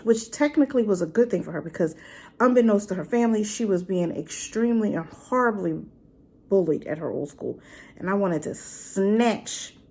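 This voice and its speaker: A woman's voice: medium pitch, breathy edge. A woman casually reviewing a drama in a chatty, rambling way, full of fillers and asides. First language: not Korean. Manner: animated, with rhetorical questions.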